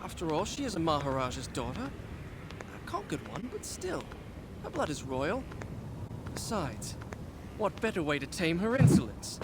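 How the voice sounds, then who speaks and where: An actor playing a proud, headstrong young prince in a video game, speaking in a formal, literary and self-absorbed way. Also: male voice, on the high side, wildly swooping pitch, normal speed, long pauses, very noisy. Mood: flustered, haughty. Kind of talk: dramatic video game acting, storybook-like narration. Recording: loud music behind the voice. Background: game soundtrack music.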